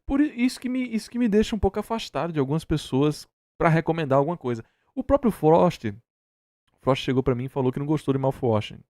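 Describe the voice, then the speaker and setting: A Brazilian man with a relaxed, mellow tone talks informally and opinionatedly. His delivery is emphatic and full of slang. The sound is dry and close. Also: a little high, quick pace, no echo. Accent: Brazilian Portuguese. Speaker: reaction stream host. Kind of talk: casual opinionated commentary.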